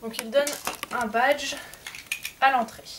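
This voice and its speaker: A woman vlogger with a slightly high voice talks casually through her souvenirs, stumbling and restarting her sentences often. She sits a little back from the mic, with a faint hiss underneath. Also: steady tone, takes it slowly, far from the mic. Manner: hesitant, many fillers and self-corrections. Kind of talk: casual show-and-tell.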